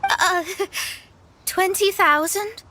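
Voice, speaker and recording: A woman's voice: extremely high pitch, extremely slow, bright, forceful tone, full character voice. A woman voicing a proud, haughty young astrologer with formal, lofty speech in an anime-style game. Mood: flustered. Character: proud young female astrologer, haughty, secretly money-minded.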